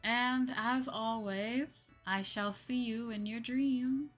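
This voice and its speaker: A woman's voice: on the high side, fairly even intonation, slow pace, faint music behind. A slightly sleepy woman hosting a livestream, chatting casually and informally with her viewers. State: a little sleepy. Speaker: livestream host, VTuber.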